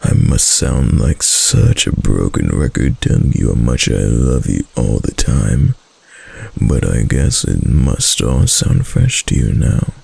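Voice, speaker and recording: Casting a male actor for a clingy yandere fox-spirit husband, intimate ASMR roleplay; slow, heavily creaky murmur, tender and obsessive. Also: expressive, a little mellow, heavily acted. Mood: lovestruck. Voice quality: deep, breathy, gravelly. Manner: slow, hesitant, coaxing endearments.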